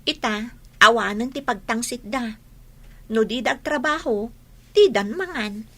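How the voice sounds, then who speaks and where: A woman's voice: a little high, measured pace, fairly bright tone. A woman playing a gossipy, judgmental young daughter in a radio drama, with an Ilocano Filipino accent.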